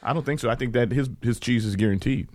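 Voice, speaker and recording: A man co-hosting a casual sports talk show, bantering in slang with quick laughs. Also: mid-range voice, dry recording, clean studio sound. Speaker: co-host.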